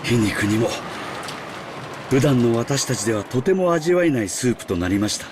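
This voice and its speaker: Casting: male actor as genial, proud servant chef, polite, brisk delivery, game dialogue with rain behind. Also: medium pitch, lively intonation, background noise, close to an everyday voice. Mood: wistful. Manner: polite, self-assured. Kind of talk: Japanese visual novel voice acting.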